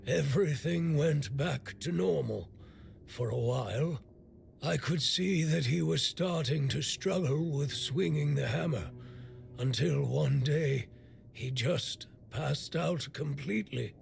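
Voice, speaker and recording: An actor playing a bitter, blunt, middle-aged blacksmith in a video game, grumbling and weary with worry. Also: male voice, fairly high pitch, takes it slowly, gravelly, darkish tone, soft background music, heavily acted. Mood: weary, worried. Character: gruff, bitter male blacksmith, worried for a stricken young man.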